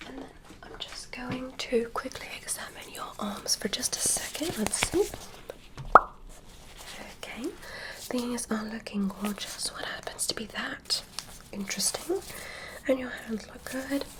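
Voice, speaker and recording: Softly whispering woman, ASMR alien exam. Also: on the high side, low-quality recording. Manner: soft unvoiced whisper, slow careful counting; playful, teasing bedside manner. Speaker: ASMR creator.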